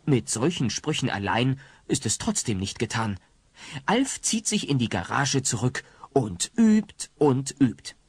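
An actor narrating a comedic family radio play as a wry storyteller with a husky voice. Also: male voice, mid-range voice, big pitch swings, a little slow, full character voice.

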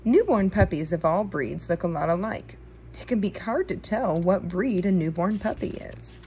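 A woman reading a children's nonfiction book aloud, phrasing it clearly for young listeners, recorded indoors on a handheld phone. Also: lowish voice, expressive, moderate pace, mellow, a little hiss or hum, low-quality recording.

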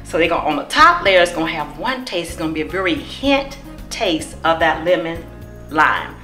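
A middle-aged woman, a cookbook author, demonstrating a recipe in her home kitchen, explaining each step in a warm, encouraging way. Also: sits low, expressive, moderate pace. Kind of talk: cooking demonstration. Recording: echoey kitchen with music behind.

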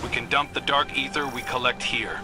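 A man voicing an earnest, brooding younger hunter in a video game, speaking in a serious, measured manner. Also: mid-range voice, close to an everyday voice. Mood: serious, focused. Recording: game audio under music. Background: game music.

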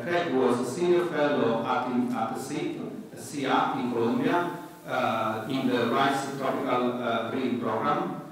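Hesitant man in an echoey hall. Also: mid-range voice. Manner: hesitant, many fillers and restarts. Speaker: introducer at a conference. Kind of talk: formal introduction speech. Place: large hall. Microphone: handheld mic at a lectern. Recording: echoey hall, far from the mic.

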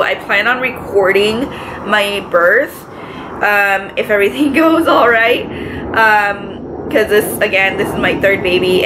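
A pregnant young woman with a raspy, allergy-congested voice, chatting casually to camera from her living room couch, full of filler words. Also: medium pitch, noisy background. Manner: chatty, lots of filler words, gestures with both hands. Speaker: vlogger. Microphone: camera in front, medium framing.

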